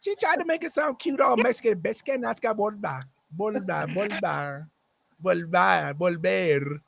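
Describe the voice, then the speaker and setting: A young man with a gravelly, somewhat high voice trades jokes as co-host. He teases the others and breaks into loud, animated asides. The talk is loose and playful. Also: a little slow. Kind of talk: casual chat.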